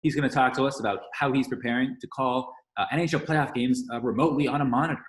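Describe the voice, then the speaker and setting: Very fast-talking young man. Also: medium pitch, rough recording. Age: young adult. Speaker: podcast co-host, interviewer. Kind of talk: casual interviewing.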